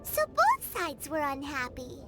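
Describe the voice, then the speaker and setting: A woman voicing a tiny, cheeky childlike fairy in an anime game, squeaky and exclamatory. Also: huge pitch swings, unhurried, sharp tone, faint music behind, exaggerated voice. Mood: curious. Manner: refers to self in third person, exclamatory. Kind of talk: cartoonish anime game voice acting.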